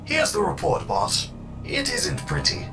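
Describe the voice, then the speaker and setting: A man playing a timid, deferential cartoon minion with a creaky voice and a placating manner. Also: mid-range voice, huge pitch swings, unhurried, music in the background. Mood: nervous. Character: timid, deferential male minion reporting to boss.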